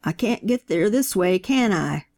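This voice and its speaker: Narrator's take on an elderly preacher, fervent, shouting questions, gravelly edge. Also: mid-range voice, expressive, measured pace. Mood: preaching. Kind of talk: audiobook character voice.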